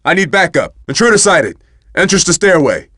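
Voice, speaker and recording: A man's voice: sits high, very animated, moderate pace, a bit bright, no echo, clean studio sound, exaggerated voice. A man voicing a frantic video game security guard with urgent, clipped callouts. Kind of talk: video game combat barks.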